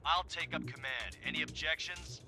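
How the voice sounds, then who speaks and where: An actor playing a cocky, self-assured convict fighter pilot with a mock-polite manner on the radio in a video game. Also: male voice, a little high, moderate pace, music in the background, lightly acted.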